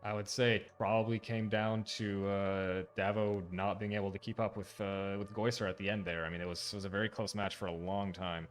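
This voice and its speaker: A man's voice: fairly low pitch, flat delivery, fairly quick, hardly any pauses. A young man hosting an online game tournament in casual, upbeat talk with fillers. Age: teens. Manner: upbeat, fillers, laughs easily. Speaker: tournament host. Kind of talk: casual stream chat. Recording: game music behind.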